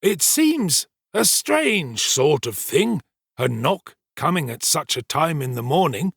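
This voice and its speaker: A pompous manservant from a storybook , acted out by a man, full of his own importance. His voice creaks and rasps, and he draws out each emphatic phrase in great swoops of pitch. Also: very animated, takes it slowly, fairly bright tone, no echo, studio quality, heavily acted. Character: pompous, self-important male servant telling his alarming adventure. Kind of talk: dramatised storybook reading.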